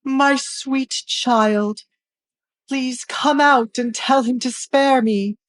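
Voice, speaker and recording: A woman's voice: sits high, steady tone, very slow, no room sound, voice pushed up. A woman voicing a cowardly baron father in an audio drama, whining and stammering as they beg. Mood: wheedling. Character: cowardly abusive baron father, pleading desperately for his life.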